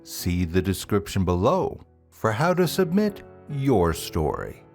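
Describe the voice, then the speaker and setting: A man narrating a scripted ghost story as a storyteller and host, with deliberate, dramatic phrasing and drawn-out words. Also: fairly low pitch, wildly swooping pitch, gravelly, a little mellow. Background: music.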